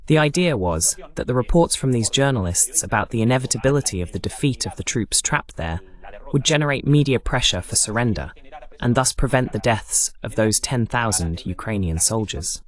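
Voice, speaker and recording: A man's voice: mid-range voice, expressive, normal speed. A man hosting a political analysis monologue, explaining emphatically with rhetorical questions and lists. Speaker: host and political commentator.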